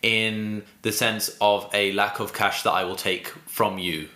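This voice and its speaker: A man co-hosting a casual political podcast, speaking haltingly and searching for words in an echoey room. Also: fairly low pitch, steady tone, sharp tone. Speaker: podcast co-host. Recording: roomy, echoey sound.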